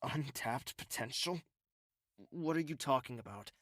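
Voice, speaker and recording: A man's voice: medium pitch, lively intonation, a little slow, fairly bright tone, no echo. Man playing a troubled young prince, dry close sound. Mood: defensive, confused. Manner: earnest, hesitant, introspective. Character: troubled young royal man, tall, self-doubting, protective, earnest. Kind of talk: dramatic audio drama acting.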